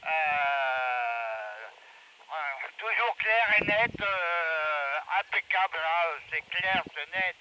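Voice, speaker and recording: A man heard over a two-way radio, chatting casually in halting, repetitive phrases during a radio check. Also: on the high side, lively intonation. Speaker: amateur radio operator. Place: heard through handheld radio outdoors. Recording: noisy walkie-talkie speaker picked up outdoors.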